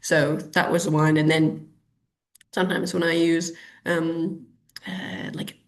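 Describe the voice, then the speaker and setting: Low, gravelly woman. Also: little pitch movement, takes it slowly. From Canada. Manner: chatty, thinks aloud with many ums and restarts. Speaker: urban planner guest. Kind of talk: webinar interview answers.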